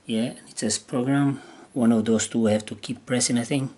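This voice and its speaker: A man who is not a native English speaker gives a casual, unscripted hands-on demonstration, hesitantly thinking aloud in halting phrases. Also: mid-range voice, fairly even intonation, dark tone. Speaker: amateur radio hobbyist demonstrating gear. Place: indoors at a wooden table. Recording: clear background noise on handheld camera audio.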